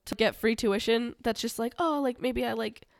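A woman's voice: fairly high pitch, steady tone, moderate pace, gravelly, no echo. A young woman co-hosting a podcast, nasal and congested from a cold, chatting in a laid-back way and swearing casually. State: congested, getting over a cold. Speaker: podcast co-host. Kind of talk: casual chat.

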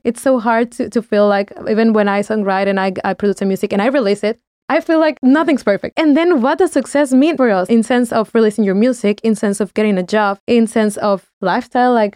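A young woman whose first language is Spanish chats loosely as a podcast co-host, full of "like" and quick self-corrections. Her voice is smooth and a little high. The sound is dry and close. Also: a little mellow, no echo. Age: 20s. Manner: chatty, many "like" fillers, self-correcting. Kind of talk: casual chat.

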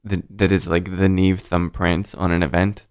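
A man with a deep voice hosting a casual interview, laid-back and jokey, swearing now and then. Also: little pitch movement, normal speed, a little mellow, smooth. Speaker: podcast host.

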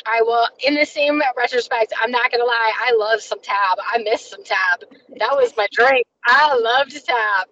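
A woman in her forties co-hosting a casual podcast, chatty and animated, with "like" sprinkled through every sentence. Her voice sits high. The recording is dull and lo-fi. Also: measured pace, slight background noise. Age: 40s. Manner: chatty, animated, says "like" a lot. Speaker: podcast co-host. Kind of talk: casual chat. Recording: dull lo-fi sound.

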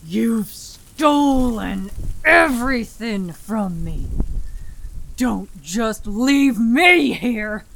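A woman acting a gravelly, anguished grieving father. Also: fairly high pitch, expressive, very slow, fairly bright tone, pitched above the performer's own voice, exaggerated voice. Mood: defiant rage. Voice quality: hoarse, gravelly. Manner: mumbles brokenly, then bellows in despair. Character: anguished grieving father, raging at monsters in the dark. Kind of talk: dramatic audio fiction acting.

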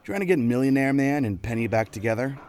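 An actor playing an earnest, mildly puzzled, wry matchmaker in a comic superhero audio drama. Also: male voice, mid-range voice, expressive, fast, a little mellow, lightly acted. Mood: explaining.

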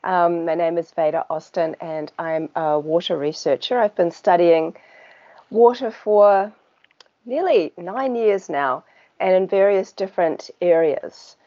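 A woman with a New Zealand accent and a fairly low voice talks easily with an interviewer, warm in tone and unhurried, with filler words along the way. The recording is dull and lo-fi. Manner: relaxed and chatty, with small laughs and filler words. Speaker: guest. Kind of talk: casual conversational interview. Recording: dull lo-fi sound.

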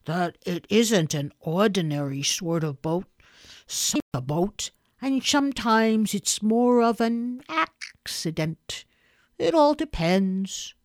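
A woman voicing a simple, proud male teddy bear in a storybook reading, speaking plainly and gently. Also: medium pitch, very animated, takes it slowly, no echo, clean studio sound. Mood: musing.

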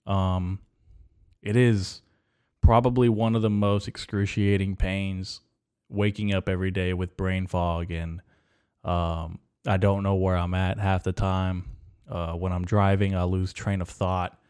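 Male podcast host, Mexico-born, low clear voice, deadpan rambling monologue from his bedroom. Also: a little slow. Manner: absurd dark jokes delivered straight, frequent ums.

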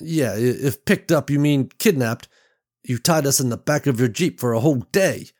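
A man's voice: mid-range voice, normal speed, warm tone, voice pushed up, natural voice. A man voicing a fierce, protective mother in an audiobook, speaking sharply and threateningly with a raised voice. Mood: angry, defiant. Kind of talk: audiobook character voice.

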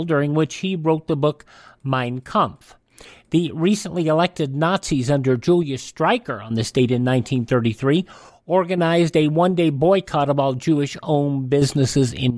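Higher-pitched man narrating, dry close sound. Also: darkish tone, dry recording. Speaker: radio show host. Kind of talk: scripted historical narration.

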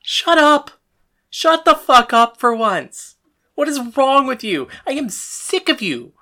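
Death god, narrating lead in audio drama: female actor, deep-pitched, brooding, bitter, defiant. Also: expressive, moderate pace, a bit bright, heavily acted. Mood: furious, defiant. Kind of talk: first-person dramatic narration and dialogue.

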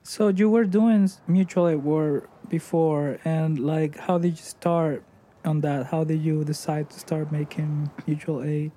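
Host, man from Latin America, mid-pitched mellow voice, enthusiastic, slightly halting. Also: steady tone. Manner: enthusiastic, slightly halting, says "wow" often. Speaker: podcast host. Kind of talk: casual interview conversation.

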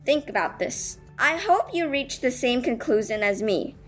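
A woman's voice: a little high, sing-song, moderate pace, fairly bright tone, soft background music. A female student earnestly reading a prepared speech aloud, addressing listeners with rhetorical questions. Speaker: student reading own essay.

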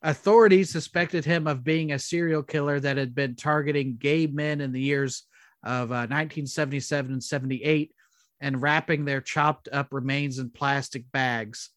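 A man co-hosting a casual podcast, chatting and reading out trivia in a halting way. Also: medium pitch, steady tone, a bit bright, no echo. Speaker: podcast co-host.

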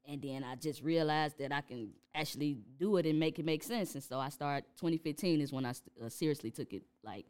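A young woman with a Chicago accent, a rapper talking casually in an interview, relaxed and laughing often. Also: sits low, fairly quick, hardly any pauses, smooth. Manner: laid-back, laughs often, many fillers. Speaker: rapper guest.

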